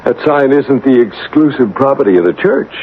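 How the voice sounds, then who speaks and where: An actor playing an earnest, solemn psychic investigator, narrating and acting deliberately in a 1970s radio drama. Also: male voice, medium pitch, expressive, natural voice. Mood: calm, assured. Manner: deliberate, dramatic, halting at tense moments. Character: earnest, solemn male psychic investigator telling his ghost story. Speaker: narrator and lead character. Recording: tinny, noisy vintage radio broadcast recording.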